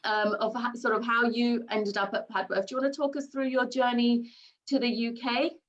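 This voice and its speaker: A woman's voice: on the high side, flat delivery, moderate pace, lo-fi sound. A woman hosting a school information session on a video call, polite and upbeat, with frequent ums and thank-yous. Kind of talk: friendly moderating on a video call.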